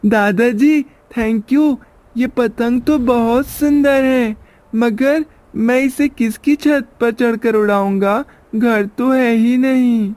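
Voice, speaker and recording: A sweet, wistful little grandson from a children's story. The voice is extremely high and childlike, slowly drawn out, with a dry close sound. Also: male voice, steady tone, a little mellow, no room sound. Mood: grateful, wistful.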